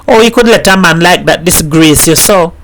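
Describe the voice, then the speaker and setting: A man voicing a sharp-tongued, forthright middle-aged mother who speaks in dialect. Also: on the high side, moderate pace, slight background noise, pitched above the performer's own voice, low-quality recording. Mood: scolding. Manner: scolding, forthright, dialect speech.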